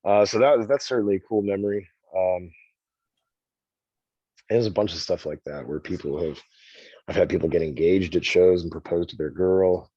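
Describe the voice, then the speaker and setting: Brief: easygoing rock singer, very low voice, muffled and dry recording. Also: male voice, normal speed, long pauses, dark tone, husky, dry recording. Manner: easygoing, rambling, calls the host "man" and "dude". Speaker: band singer. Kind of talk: casual interview talk. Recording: dull, dry sound.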